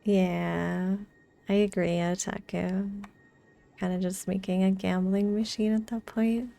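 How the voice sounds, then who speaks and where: A woman's voice: medium pitch, level tone, takes it slowly, gravelly, dark tone. A woman streaming a video game, chatting casually with her viewers as she plays. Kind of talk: casual chat with viewers during gameplay.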